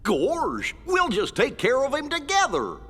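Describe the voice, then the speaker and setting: An actor voicing a good-natured, folksy cartoon animal sidekick in a video game, with a bumbling, exaggerated delivery. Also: male voice, very high-pitched, huge pitch swings, unhurried, fairly bright tone, husky, exaggerated voice. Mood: loyal. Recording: music behind.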